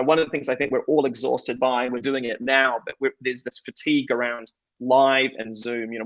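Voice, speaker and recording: Fast-talking New Zealand man, gravelly. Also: medium pitch, a bit bright, no room sound, poor audio. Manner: conversational, self-deprecating, frequent restarts. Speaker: conference speaker. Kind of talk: conference presentation.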